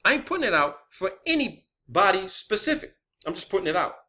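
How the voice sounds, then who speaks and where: A man's voice: high voice, very animated, brisk, pushed and bright. A man delivering an unscripted, profane rant in a blunt, emphatic manner, often repeating phrases for emphasis, as an online video creator.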